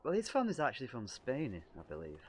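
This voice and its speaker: A man's voice: mid-range voice, wildly swooping pitch, fast talker, slightly rough. Young lancashire guy streaming, reads out chat questions, rambly and jokes at his own expense. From Lancashire, England. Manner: self-deprecating, rambling, reads questions aloud. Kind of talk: casual livestream chat answering viewer questions.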